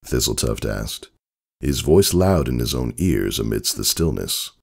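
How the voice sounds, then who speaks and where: A man narrating a story as a dramatic scripted reading, with a gravelly, husky voice and expressive literary delivery. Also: a bass voice, measured pace.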